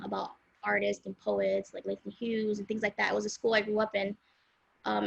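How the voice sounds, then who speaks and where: A woman's voice: mid-range voice, vocal fry, a bit bright, breathy edge, dry recording, low-quality recording. A young woman from Los Angeles, a singer-songwriter, talking casually as an interview guest and rambling with many fillers. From South Central Los Angeles, USA.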